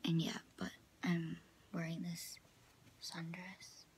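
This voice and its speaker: A young woman chatting casually to her vlog in a halting way, often padding her sentences with "like", recorded on a phone at arm's length in a small room. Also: on the low side, monotone. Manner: halting, filler-heavy storytelling with frequent "like". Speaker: vlogger. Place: small indoor rooms, camper and bedroom. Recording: noisy handheld phone audio.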